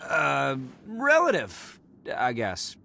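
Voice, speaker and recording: A man's voice: a little high, wildly swooping pitch, drawn-out words, creaky, pushed and bright, a little hiss or hum, heavily acted. An actor playing a brash, good-hearted young male hero in a video game, with a boastful, theatrical manner that swings into bashfulness. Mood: hesitant, awkward. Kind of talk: English video game voice acting.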